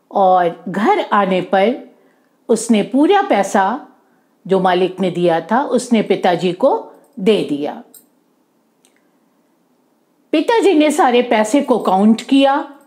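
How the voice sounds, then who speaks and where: A woman's voice: on the high side, darkish tone. A woman telling a moral story to children, often rephrasing herself and mixing in English words. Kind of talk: oral moral storytelling for kids.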